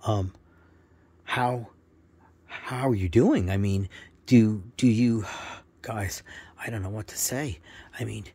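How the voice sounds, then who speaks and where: A man's voice: on the low side, takes it slowly, long pauses, mellow, slightly rough, no echo, pitched below the performer's own voice, heavily acted. A man voicing a polite young boy on a forest adventure in a children's bedtime story, hesitant and marveling. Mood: amazed.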